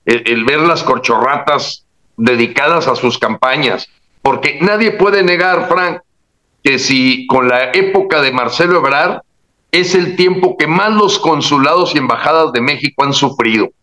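Emphatic man, bright tense voice. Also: fairly high pitch, measured pace, poor audio. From Mexico. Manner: emphatic, indignant, with frequent restarts. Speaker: guest commentator. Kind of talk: casual political chat.

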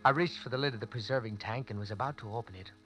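An actor playing a nervous young man who tells his own crime in an old radio drama, his narration hushed and often whispered. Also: mid-range voice, racing, soft background music, natural voice. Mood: hushed tense. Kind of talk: 1940s radio acting, first-person narration.

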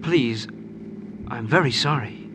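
A man's voice: medium pitch, slow pace, background noise, close to an everyday voice. An actor playing an earnest, ingratiating young man in an old-time radio drama, formal and insistent. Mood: fawning, apologetic. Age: young adult.

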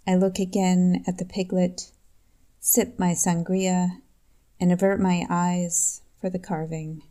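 Slow, mellow-voiced woman reading a poem. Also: lowish voice. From New York State, USA. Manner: slow, measured, deliberate recitation. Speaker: poet reading her own work. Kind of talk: poetry reading.

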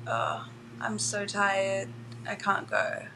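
A young Australian woman in her twenties, a college basketball player answering interview questions softly and hesitantly, with many fillers. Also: medium pitch, wildly swooping pitch, slow, background noise. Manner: soft, hesitant, lots of "like" and "um".

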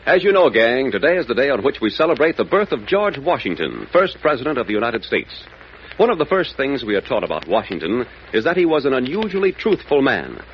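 A man giving a scripted, earnest and moralizing 1940s radio address to young listeners. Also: medium pitch. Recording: muffled, noisy old radio recording.

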